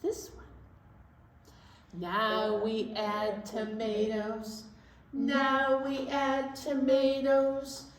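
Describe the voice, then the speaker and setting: A woman hosting a children's library storytime in a sing-song style, with simple singing and gentle chat. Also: high-pitched, completely flat delivery, extremely slow, a little hiss or hum. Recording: roomy echo, distant microphone.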